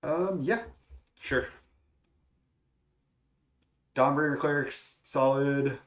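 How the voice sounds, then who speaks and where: Young man, stuffy nose, hesitant, lots of ums, casual game commentary, average pitch. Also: extremely slow, fairly bright tone. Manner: thinking aloud, hesitant with many fillers and restarts. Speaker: gaming content creator. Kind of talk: casual play-by-play of a card game.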